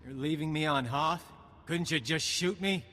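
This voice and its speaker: A man's voice: fairly high pitch, normal speed, sharp tone. A man playing a nervous, cowardly soldier turned traitor in a video game, faltering and evasive. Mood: bitter, resigned. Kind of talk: video game cutscene acting. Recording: reverberant game audio, faint noise.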